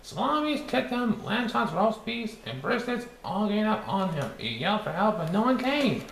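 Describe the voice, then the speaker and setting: Cheerful cartoon sponge reading a storybook, high, wildly swooping sing-song delivery with stumbles, in an echoey room. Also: male voice, very animated, moderate pace, vocal fry, a bit bright, full character voice. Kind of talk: storybook read-aloud. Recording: echoey room with faint background noise.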